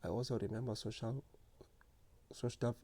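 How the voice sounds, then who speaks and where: A man telling his own story in a slow, earnest, reflective way, stuttering often with repeated words and restarts. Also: medium pitch, steady tone, warm tone. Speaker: speaker sharing lived experience. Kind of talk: personal reflective monologue.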